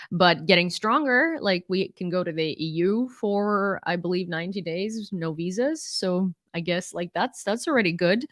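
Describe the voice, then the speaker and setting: Woman, young, American accent, from Ukraine, live-stream host, chatty, lots of fillers, mid pitch. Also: fairly bright tone, dry recording, studio quality. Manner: chatty, many fillers, laughs often. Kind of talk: casual live-stream chat and news reading.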